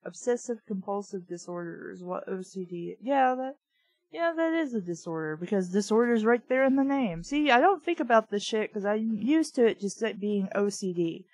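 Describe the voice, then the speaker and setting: A woman's voice: medium pitch, expressive, moderate pace, darkish tone, dry recording, low-quality recording. A woman reading a book aloud casually, with blunt, sweary, opinionated off-the-cuff commentary. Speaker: reader and commentator of a children's book.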